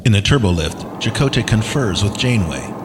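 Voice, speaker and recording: A man's voice: on the low side, expressive, a little fast, background noise. A man reading scripted narration for a science fiction audio drama. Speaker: narrator and actor. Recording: loud music bed under voice. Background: loud music.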